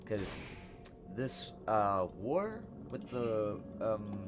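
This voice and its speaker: A man's voice: on the low side, big pitch swings, extremely slow. A man casually commenting on a game he plays, rambling with hesitant fillers and laughter, game music behind. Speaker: gameplay commentator. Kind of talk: casual let's play commentary.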